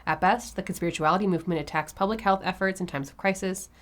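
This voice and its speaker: Nasal woman podcaster with vocal fry. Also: quick pace. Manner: animated, lots of pitch peaks and valleys. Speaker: podcast co-host. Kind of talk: casual chat.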